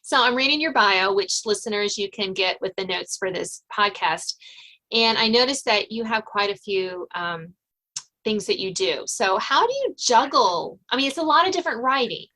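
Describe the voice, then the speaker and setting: A woman's voice: mid-range voice, expressive, moderate pace, bright, forceful tone, rough recording. A woman hosting a podcast interview in a chatty, conversational way, often rephrasing as she goes. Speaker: podcast host.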